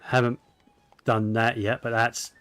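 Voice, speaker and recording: Low-key man commentating over gameplay. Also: level tone, measured pace, fairly bright tone, smooth, dry recording. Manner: low-key, musing to himself. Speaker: gaming streamer. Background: faint game music.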